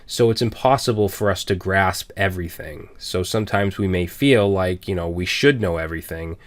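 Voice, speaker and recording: A man's voice: low voice, moderate pace. A man in his thirties with a Boston accent, hosting a programming channel and talking candidly to viewers in a plain, earnest way with frequent fillers. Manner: earnest, plain-spoken, frequent "all right" and "um". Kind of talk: candid personal talk to viewers.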